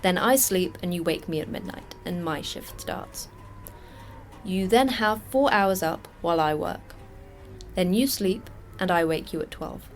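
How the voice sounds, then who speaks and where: A woman's voice: fairly low pitch, unhurried, close to an everyday voice. A woman playing a jaded, terse station manager in an audio drama, dry and matter-of-fact. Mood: wry briefing. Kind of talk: audio fiction podcast acting.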